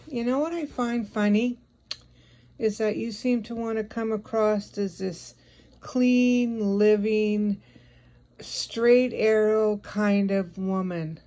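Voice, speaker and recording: A woman's voice: fairly high pitch, warm tone. A woman giving a confrontational, scolding monologue addressed directly at someone, as an online video creator.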